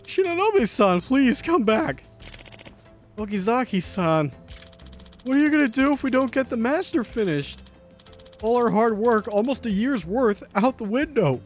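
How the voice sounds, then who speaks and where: A man's voice: very high voice, sing-song, moderate pace, soft background music, pitched above the performer's own voice. A man voicing an anxious male office colleague who pleads earnestly. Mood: pleading.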